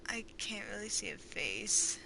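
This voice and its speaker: A woman's voice: mid-range voice, sing-song, a little slow, creaky, noisy background. A woman doing casual video game commentary, thinking aloud in an informal way as she plays. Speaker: gaming streamer. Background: video game music.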